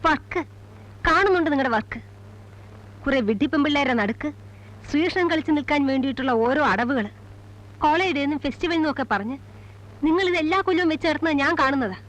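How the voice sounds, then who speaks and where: An actress playing a proud, sharp-tongued young woman in a film drama, scolding and disdainful, with an Indian Malayali accent. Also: sits high, animated, quick pace, long pauses, low-quality recording. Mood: scornful. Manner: scolding, disdainful, English words mixed in. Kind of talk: heated film drama dialogue.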